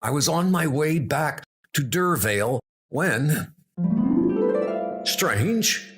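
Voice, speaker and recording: Casting: man as hurt scientist, urgent and halting, pitched up, pulp sci-fi audio comic. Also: expressive, fairly bright tone, soft background music, exaggerated voice. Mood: strained, urgent. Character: wounded, urgent male scientist recounting a strange danger.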